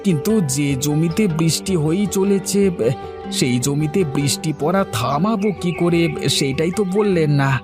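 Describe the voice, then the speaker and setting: High-voiced worried village farmer, played by a man. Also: normal speed, music in the background, lightly acted. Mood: worried, puzzled. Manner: plaintive, questioning. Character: worried, simple village farmer seeking help. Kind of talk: cartoon dialogue.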